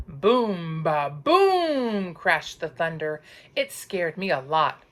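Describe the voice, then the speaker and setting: Slow, animated woman reading a storybook. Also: fairly low pitch. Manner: dramatic, drawn-out emphasis on sound words and counting. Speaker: teacher reading aloud. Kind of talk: expressive children's storybook narration.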